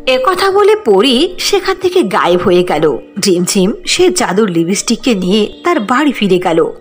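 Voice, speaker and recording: A woman's voice: mid-range voice, sing-song, normal speed, soft background music. A woman narrating a scripted fairy tale.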